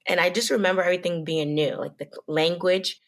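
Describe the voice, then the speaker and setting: A young woman from Nigeria whose first language is Yoruba, a podcast guest telling her story in a friendly, relaxed way. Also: on the low side, brisk. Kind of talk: casual interview chat.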